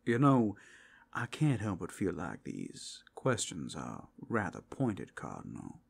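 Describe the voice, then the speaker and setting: Casting: male actor as wary fantasy tavern host, protective, politely challenging, husky voice, for audio roleplay. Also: low-pitched, huge pitch swings, slow, exaggerated voice.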